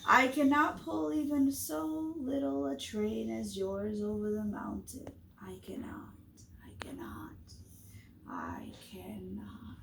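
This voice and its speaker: A woman voicing a weary, rusty old male engine who sighs and speaks slowly and faintly. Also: fairly high pitch, animated, vocal fry, reverberant, distant mic, background noise, heavily acted. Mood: exhausted. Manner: sighing, slow and faint.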